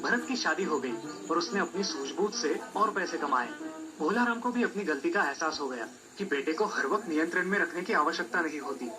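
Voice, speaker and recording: A man's voice: a little high, lively intonation, brisk, poor audio. A man narrating a scripted children's folk tale as a storyteller. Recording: music behind the voice.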